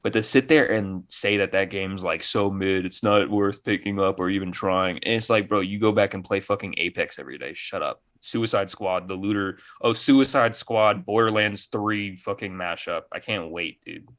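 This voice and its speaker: Sarcastic, exasperated man with a lowish voice. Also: moderate pace, few pauses, a bit bright. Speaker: gaming podcast panelist. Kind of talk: casual, profane panel banter.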